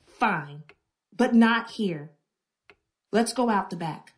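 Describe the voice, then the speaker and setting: A woman's voice: mid-range voice, expressive, takes it slowly. A woman playing a defiant, suspicious, hot-tempered and fiercely protective woman in a horror radio drama, blunt and combative. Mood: grudging. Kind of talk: old time radio style horror audio drama.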